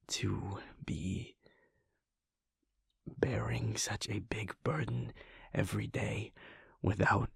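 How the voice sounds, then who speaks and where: A man voicing a caring male werewolf boyfriend in an audio roleplay, talking softly and earnestly, often half-whispered. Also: lowish voice, vocal fry, breathy edge, dry recording, clean studio sound, heavily acted.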